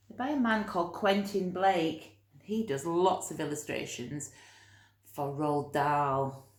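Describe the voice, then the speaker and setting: A woman reading a picture book aloud to young children, expressive, with chatty asides and gentle explanations. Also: sits low. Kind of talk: animated read-aloud storytelling with chatty asides. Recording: roomy, echoey room sound.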